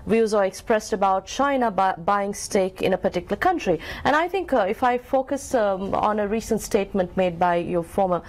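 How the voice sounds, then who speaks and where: A woman's voice: medium pitch, normal speed, hardly any pauses, a little mellow. A woman from Sri Lanka interviewing guests, asking long questions with many hesitant ums and uhs.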